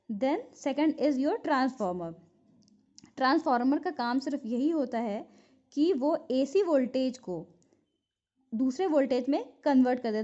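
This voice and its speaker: Female teacher, Indian accent, slow and soft-toned, explanatory study-notes delivery, muffled low-fidelity sound. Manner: explanatory, repeats key points for memorizing. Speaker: physiotherapy teacher. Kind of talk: instructional lecture from study notes. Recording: dull lo-fi recording.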